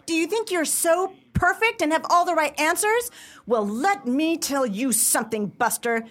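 A woman playing an indignant, sensitive, sharp-tongued young woman in a radio play, with theatrical, emphatic delivery. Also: high voice, animated, moderate pace. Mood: furious.

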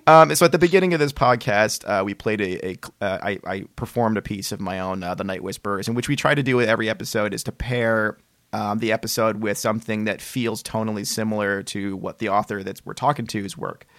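Low-voiced man hosting a casual podcast. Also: fairly quick, a bit bright, no echo. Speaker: podcast host. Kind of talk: casual interview chat.

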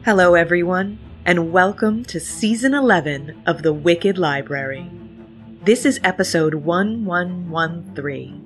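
Female podcast host, lower register, playful scripted intro delivery, music underneath. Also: measured pace. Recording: music bed behind the voice. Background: background music.

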